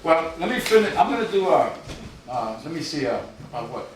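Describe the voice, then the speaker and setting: A middle-aged man reading his poetry live, with informal asides and a wry, deadpan storytelling manner. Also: fairly high pitch, fairly quick. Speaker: poet. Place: live event in a reverberant room, audience present. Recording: very echoey hall, distant, noisy.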